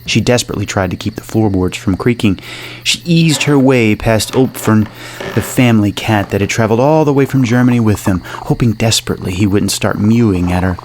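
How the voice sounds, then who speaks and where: A man's voice: fairly low pitch, fairly quick, darkish tone, slight background noise, rough recording. A man reading scripted narration for a horror story. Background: music under the narration.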